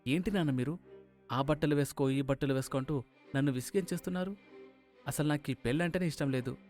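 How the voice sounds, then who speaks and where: A man's voice: fairly high pitch, fast talker, a little mellow, faint music behind, natural voice. A man voicing a spoiled, greedy young bridegroom son with a petulant, self-centred manner. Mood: irritated.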